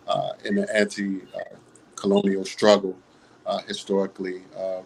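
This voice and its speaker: Guy hosting a video call, lowish voice, pretty flat, feels his way through halting phrases. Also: vocal fry, a little hiss or hum, rough recording. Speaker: host, moderator. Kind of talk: unscripted introduction on a video call.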